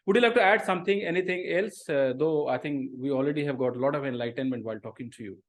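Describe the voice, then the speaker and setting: A middle-aged man with an Indian English accent hosts a video-call interview. He talks quickly in a somewhat high voice and is generous with praise and thanks. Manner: effusive, lavish with praise. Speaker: host.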